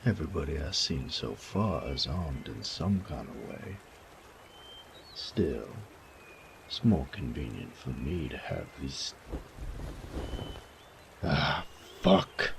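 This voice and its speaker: Man playing a very deep, gravelly bandit. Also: big pitch swings, background noise, heavily acted. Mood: wry, complaining. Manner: slow, taunting drawl with folksy, profane phrasing. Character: sadistic male bandit, cocky, teasing, menacing rogue. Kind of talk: intimate roleplay audio drama.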